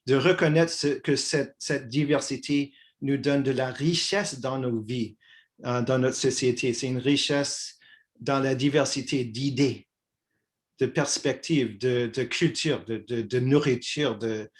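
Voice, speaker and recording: An older man talks as a guest in an easygoing dialogue. He speaks at a middle pitch, pausing and restarting his phrases often. He is from Quebec. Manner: halting, many restarts and fillers. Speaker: guest, peace activist. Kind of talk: conversational dialogue.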